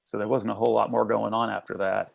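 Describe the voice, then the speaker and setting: Very gravelly man reminiscing casually. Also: lowish voice, flat delivery, fast talker. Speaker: theatre practitioner recalling a production. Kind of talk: casual recollection. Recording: slightly dull, lo-fi sound.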